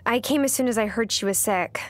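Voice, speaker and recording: A woman's voice: fairly high pitch, brisk, close to an everyday voice. An actress playing a friendly young woman new in town, hesitant and earnest, in a video game. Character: friendly young woman newly moved to a rural island.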